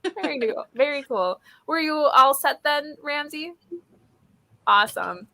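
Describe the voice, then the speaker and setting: Bright-voiced woman hosting a chatty live stream. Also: sits high, lively intonation, measured pace. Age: 30s. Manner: enthusiastic, informal, chatty. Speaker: host of a book readalong live show. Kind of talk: casual live-stream chat. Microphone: video call.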